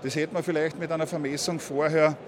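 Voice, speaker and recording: A man's voice: fairly high pitch, flat delivery, fast talker, background noise. A man with an Austrian accent explains technical matters in a colloquial presentation, often adding a checking "ja".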